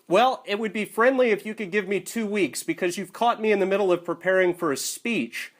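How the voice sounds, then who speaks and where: A man's voice: high voice, fairly quick. A man on a recorded phone call, arguing insistently in formal, wordy phrasing. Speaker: caller in a phone dispute. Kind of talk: argumentative phone conversation. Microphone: telephone.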